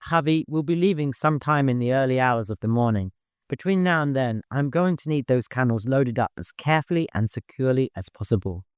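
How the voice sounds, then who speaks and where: A man voicing a hurried, no-nonsense master organizing a caravan in an audiobook, courteous but commanding. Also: mid-range voice, clean tone, no echo, studio quality, close to an everyday voice. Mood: grave, commanding.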